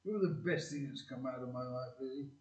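Man playing a tender father, halting delivery, distant, muffled, amateur radio-play style. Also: mid-range voice, darkish tone, close to an everyday voice. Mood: tender, earnest. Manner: hesitant, halting, earnest. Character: gruff, tender working-class father to a grown daughter. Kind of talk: amateur community audio play. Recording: distant, echoey, dull hall recording.

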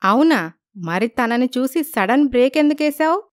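A woman's voice: high-pitched, expressive, moderate pace, no room sound, clean studio sound, voice pushed up. A woman voicing a scheming, haughty young girlfriend in a cartoon story, pert in manner. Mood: suspicious, indignant. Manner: pert, mixes in English phrases.

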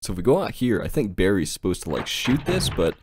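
A man's voice: fairly low pitch, sing-song, fairly quick, noisy background. A man giving casual, profane and amused commentary as he plays a video game. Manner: amused, giggly, easygoing. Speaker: game streamer, channel host. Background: game music.

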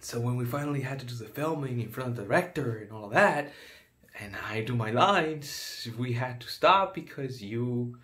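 Male actor plays a disgraced bit-part actor, unhinged, stammers and repeats, ordinary mid-range voice, whisper-to-shout swings. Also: mid-range voice, expressive, normal speed, natural voice. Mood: bitter. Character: unhinged failed actor, a man bent on revenge. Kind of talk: dramatic monologue.

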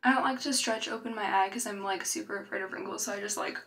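A woman's voice: mid-range voice, steady tone, fast, creaky, a bit bright, reverberant, recorded from a distance. A young woman chatting through a makeup tutorial, describing each step as she applies it, recorded in a small bedroom on the camera's built-in mic. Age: young adult. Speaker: makeup vlogger. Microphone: built-in camera mic at arm's length.

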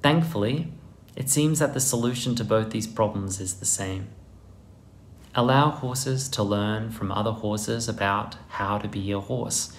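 Earnest man with a gravelly voice. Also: fairly low pitch, a little hiss or hum. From Netherlands. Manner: earnest, emphatic, deliberate delivery. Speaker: horse trainer presenting a video essay. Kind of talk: scripted video essay narration. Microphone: close camera framing, head and shoulders.

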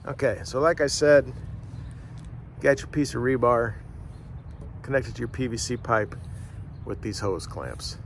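A man, hosting a casual outdoor how-to video with a relaxed, folksy way of talking. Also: mid-range voice, a little slow, creaky, pauses often. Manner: relaxed, folksy, repeats himself with fillers. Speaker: fishing channel host. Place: outdoors by a wooded riverbank. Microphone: camera on a tripod a few feet away. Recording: outdoor noise, traffic in the background.

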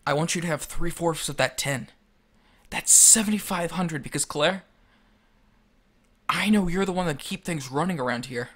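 A man acting in an audio drama as a subdued, earnest young man with a halting way of talking. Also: on the high side, fairly bright tone. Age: young adult. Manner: halting, hesitant.

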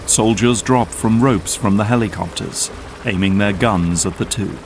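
A man's voice: fairly low pitch, moderate pace, noisy background. A man delivering scripted narration for an audio drama, as its narrator.

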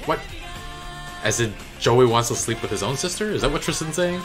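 A man's voice: mid-range voice, lively intonation, a little fast. A young man giving brief, casual reactions to a cartoon at a desk microphone in a small room. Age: young adult. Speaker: reaction video host. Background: cartoon audio and music.

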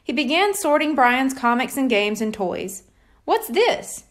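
A woman reading a children's storybook aloud in an expressive narrating style. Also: high-pitched, normal speed. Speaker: audiobook reader.

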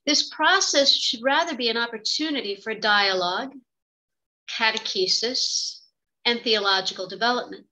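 Bright, higher-voiced woman giving a formal lecture. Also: rough recording. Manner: measured, formal. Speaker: theology professor and religious sister giving a talk. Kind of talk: prepared academic lecture read aloud. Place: indoor office, on a video call. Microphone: computer microphone on a video call.

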